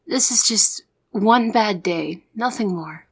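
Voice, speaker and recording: A young woman playing a soft-hearted, easily exasperated secret agent in an ASMR audio roleplay. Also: medium pitch, takes it slowly, slightly rough. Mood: tender, soothing.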